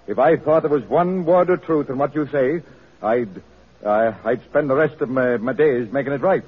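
An actor playing an evasive, defensive city political boss in a radio drama, placating and hesitant. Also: male voice, mid-range voice, normal speed, close to an everyday voice. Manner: hesitant, placating, smooth-talking. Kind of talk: vintage radio drama acting. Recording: phone-like husky old radio, reverberant.

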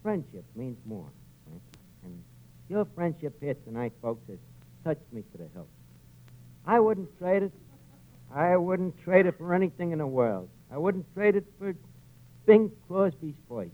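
A man's voice: a little high, big pitch swings, measured pace, long pauses. An actor playing a tough-talking, sentimental male tavern manager in a 1940s radio comedy. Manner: streetwise, mangles grand sentiments. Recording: muffled vintage radio broadcast recording.

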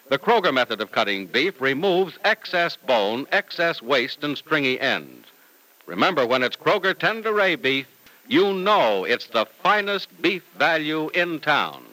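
A man's voice: on the high side, pushed and bright, dry recording, low-quality recording. A man with an American accent announcing in an old radio style, with an emphatic, polished sales delivery. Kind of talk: vintage radio serial narration and ad reading.

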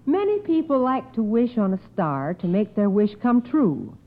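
A woman's voice: medium pitch, very animated, moderate pace. A woman hosting a radio quiz in a polite, formal way, reading out questions. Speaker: quiz show host. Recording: muffled old radio recording.

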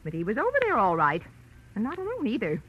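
A woman's voice: mid-range voice, huge pitch swings, quick pace, slightly rough. An actress playing a nosy, gossipy neighbor woman, prim and scandalized, in old-time radio style. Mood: confiding. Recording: muffled vintage radio recording.

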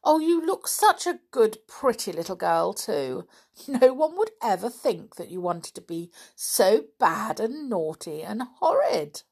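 A woman reading aloud and voicing a young, pretty, cheerful schoolmistress who speaks warmly and laughs easily. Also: medium pitch, sing-song, measured pace, husky, pitched above the performer's own voice, full character voice. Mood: amused. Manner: warm and laughing.

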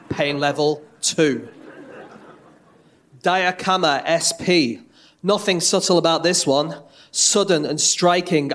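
A man's voice: a little high, a bit bright. A man reading aloud in a dramatic style as a podcast panellist.

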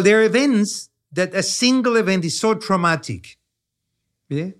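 Chilean guy in his 60s, explains brain stuff, lots of "you know" and "yeah?", lively and chatty. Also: medium pitch. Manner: animated, repeats "yeah?" and "you know", stammers on restarts. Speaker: neuroscientist guest. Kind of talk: conversational explanation.

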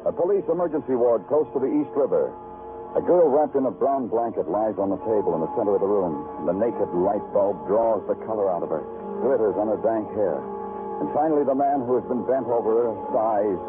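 An actor playing a world-weary police detective in an old-time radio crime drama, dramatic and hardboiled. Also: male voice, fairly low pitch, a little fast, close to an everyday voice. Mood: brooding. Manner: dramatic, poetic, clipped when questioning. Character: world-weary, brooding male police detective narrating his beat. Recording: tinny vintage radio broadcast, music underneath.

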